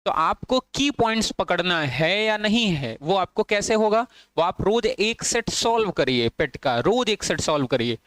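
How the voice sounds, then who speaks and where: A man's voice: sits high, a bit bright, clean tone, no room sound. A man teaching an online exam-prep lesson, repeating points and asking and answering his own questions, with English phrases mixed into his Hindi.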